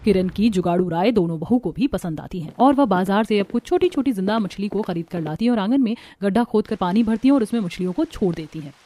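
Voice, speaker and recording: A woman's voice: medium pitch, lively intonation, quick pace, hardly any pauses, mellow. A woman narrating a scripted cartoon story.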